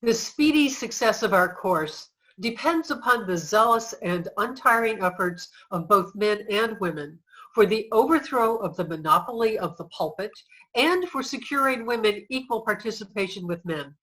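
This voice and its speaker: A woman's voice: medium pitch, expressive, moderate pace, fairly bright tone, close to an everyday voice, rough recording. A woman playing a zealous, firm nineteenth-century women's rights campaigner in a formal reader's theater recitation.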